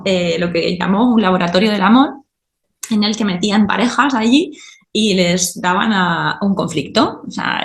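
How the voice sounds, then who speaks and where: A woman's voice: mid-range voice, reverberant. A woman hosting a podcast, explaining ideas step by step and often checking in with her listener. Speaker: podcast host and teacher.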